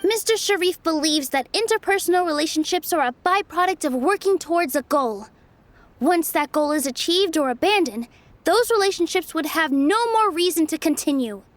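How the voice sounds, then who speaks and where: Gentle, calm young dancer in a game; very high pitch. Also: female voice, moderate pace. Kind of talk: video game voice acting.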